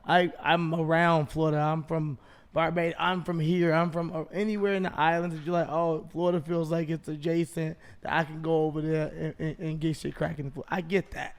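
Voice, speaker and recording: A man's voice: on the high side, level tone, fairly quick, clean tone. A man co-hosting a podcast in casual comedic chat, blunt and profane, often riffing with acted-out quotes. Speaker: podcast co-host.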